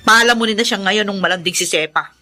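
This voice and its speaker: An actress playing a callous, sharp-tongued middle-aged mother in a Filipino radio drama, scolding and dismissive. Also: mid-range voice, fairly quick, faint music behind, lightly acted. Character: callous, sharp-tongued mother who spurns her children.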